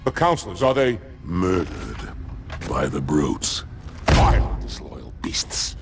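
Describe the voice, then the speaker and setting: An actor voicing a proud, devout alien warrior in a game, fierce and solemn, with formal speech and a gravelly voice. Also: male voice, big pitch swings, slow, full character voice. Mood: grave, disgusted. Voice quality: deep, gravelly. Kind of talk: video game cinematic acting. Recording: loud music behind voice.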